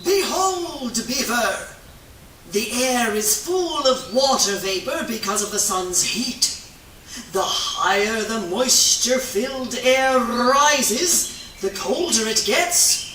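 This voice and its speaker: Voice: mid-range voice, animated, slow, sharp tone. A performer voicing a grandiose, theatrical heron scientist in a children's puppet show, pompously declaiming each step. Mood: grand, pompous. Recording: echoey room, distant mic, noisy.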